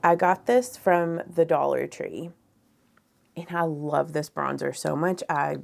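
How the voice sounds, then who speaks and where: A woman in her thirties with a froggy, raspy voice, chatting candidly and ramblingly as a beauty vlogger doing a makeup tutorial indoors. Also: sits low, slow pace. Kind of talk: casual chat during makeup tutorial. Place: small furnished room indoors.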